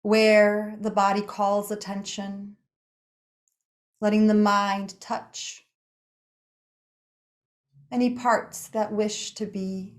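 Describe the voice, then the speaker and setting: A woman with a medium-pitched voice guides a relaxation practice. She speaks slowly and soothingly, setting down short phrases one at a time. Pauses sit between them, giving the narration an unhurried feel. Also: flat delivery. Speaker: yoga nidra meditation guide.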